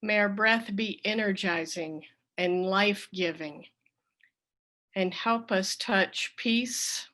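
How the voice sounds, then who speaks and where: A woman leading a worship service in spoken prayer and meditation, with measured, reverent phrasing. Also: medium pitch, sharp tone.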